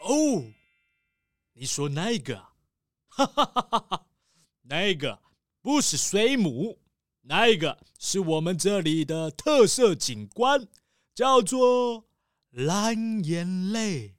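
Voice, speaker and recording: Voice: medium pitch, very animated, takes it slowly, long pauses, dry recording, clean studio sound. A performer voicing a boisterous rich seal who owns cruise ships, hammy, laughing often and dropping English words. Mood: hearty.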